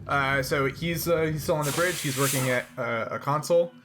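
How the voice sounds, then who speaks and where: Male game master with a mid-pitched voice. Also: moderate pace, background noise. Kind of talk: narrating a tabletop role-playing game, improvised.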